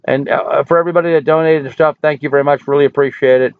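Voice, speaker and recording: An older man hosting a casual live stream, hoarse from hours of talk, folksy and rambling as he answers chat comments. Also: fairly high pitch, fairly even intonation, fast, no echo. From United States. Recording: dry, tinny phone-like audio.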